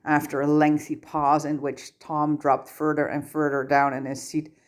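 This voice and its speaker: A woman's voice: low-pitched, level tone, moderate pace. A woman narrating a scripted fan fiction story as an audiobook-style reading.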